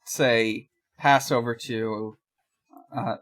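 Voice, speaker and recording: A woman's voice: rumbling low, fairly even intonation, extremely slow. A woman co-hosting a podcast, chatting casually and reading fan fiction aloud. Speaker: podcast co-host.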